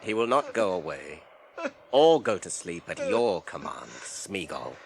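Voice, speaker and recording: An actor playing an earnest, firm, burdened hobbit in a radio drama, speaking in a measured, commanding way. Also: male voice, deep voice, sing-song. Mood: stern, calm. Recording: old radio drama, faint hiss.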